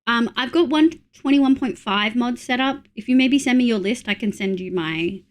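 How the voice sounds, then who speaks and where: A young woman streaming, chatting with viewers in a relaxed, supportive way while congested from a cold. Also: fairly high pitch, moderate pace. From Australia. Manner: chatty, supportive, thinks aloud with fillers. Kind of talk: casual chat reading viewer messages.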